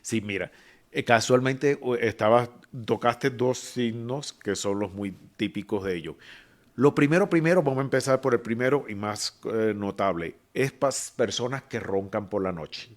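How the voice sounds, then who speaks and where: A man's voice: mid-range voice, normal speed. A man with a Cuban accent, a physician guest on a podcast, explaining patiently with many fillers and restarts. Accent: Cuban Spanish. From Cuba. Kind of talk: explanatory medical podcast talk.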